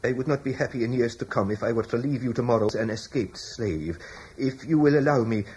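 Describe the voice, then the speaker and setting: A man's voice: mid-range voice, brisk, a little mellow, a little hiss or hum, close to an everyday voice. A man playing a loyal, dignified old servant. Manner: formal, deferential, earnest. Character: loyal, dignified male British slave, older father. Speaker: household slave. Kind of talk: radio drama acting.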